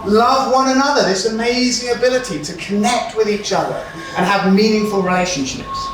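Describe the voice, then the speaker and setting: A man's voice: high voice, fairly quick, over music. A man in his forties, native Hebrew speaker from Israel, giving a conference talk in a storytelling way with humour and rhetorical questions. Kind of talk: sermon-style talk. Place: large hall with audience. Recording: echoey hall, far from the mic.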